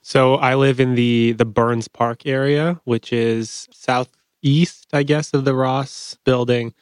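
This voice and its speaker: Quick, relaxed young man on a podcast. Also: mid-range voice, clear, resonant tone, no echo, clean studio sound. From Bulgaria, raised in the US. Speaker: guest, MBA student. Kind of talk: casual podcast chat.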